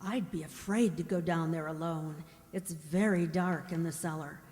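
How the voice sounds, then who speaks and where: An actress playing a fearful mute young woman whose inner thoughts are heard in a hushed, halting monologue, in old radio thriller style. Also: low voice, steady tone, close to an everyday voice. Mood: uneasy, brooding. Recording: reverberant radio play with music behind.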